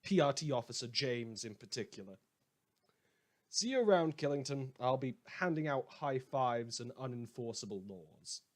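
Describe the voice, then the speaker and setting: A man's voice: mid-range voice, expressive, moderate pace, natural voice. A man playing a sardonic, mock-grandiose radio host turned self-appointed lawman in a scripted audio drama monologue, rambling and repeating himself. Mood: wry, smug. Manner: sarcastic, rambling, mock-grandiose, hesitant repetitions.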